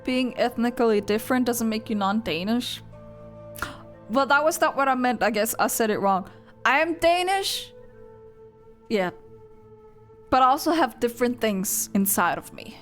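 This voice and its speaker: A young woman streaming her reaction to a game story, chatting casually and swinging between squeals and flat rambling asides. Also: on the high side, moderate pace, long pauses. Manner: excitable, gasps and squeals, then drops to flat rambling. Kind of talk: casual live reaction commentary. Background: game music.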